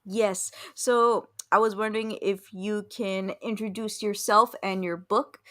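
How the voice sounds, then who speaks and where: A woman's voice: mid-range voice, steady tone, a little slow, fairly bright tone. A woman hosting a casual interview, chatting informally and reacting with exclamations. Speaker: interviewer, show host.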